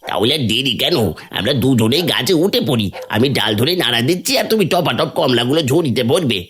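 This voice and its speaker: A man's voice: mid-range voice, expressive, a little fast, a bit bright, slightly rough. A man playing a cowardly, wheedling village thief in a fairy-tale cartoon, full of pleas and excuses. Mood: scheming. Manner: pleading, excuse-making. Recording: music under the voice.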